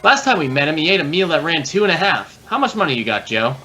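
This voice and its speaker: A homey American detective's partner in a vintage radio drama. His higher, animated voice moves quickly and casually. He sounds easygoing and chatty. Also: soft background music. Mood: wry. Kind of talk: 1950s radio drama dialogue.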